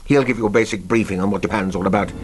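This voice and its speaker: A male actor playing a brisk, authoritative senior British intelligence chief in a radio play, with a husky, clipped delivery. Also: lowish voice, fairly even intonation, a little hiss or hum, natural voice. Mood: brisk, encouraging. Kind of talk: BBC radio play acting.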